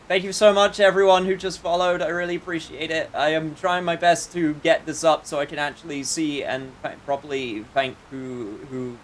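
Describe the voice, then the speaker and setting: A man's voice: a little high, fairly bright tone, a little hiss or hum. A man livestreaming a video game with casual chat and wry, joking, sometimes sweary commentary.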